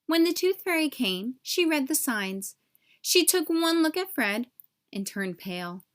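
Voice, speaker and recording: Female storyteller, high voice, expressive picture-book read-aloud for young children. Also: a little slow.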